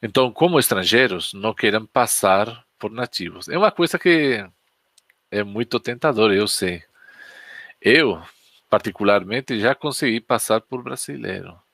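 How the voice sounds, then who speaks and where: A man with a Spanish accent speaks in a fairly low voice, telling a story in an easy, chatty way. His native tongue is Spanish, and he teaches as if chatting with his viewers on a live stream. The sound is close and dry, with no room echo. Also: lively intonation, measured pace, vocal fry, a bit bright. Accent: Spanish from Spain. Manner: chatty, anecdotal, asks the audience questions.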